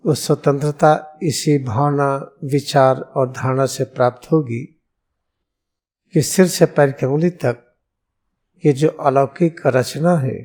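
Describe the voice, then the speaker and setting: Very slow-speaking Indian man guiding meditation. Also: mid-range voice, steady tone, darkish tone. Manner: solemn, measured, deliberate phrasing with pauses. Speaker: yoga guru guiding meditation. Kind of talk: guided meditation instruction.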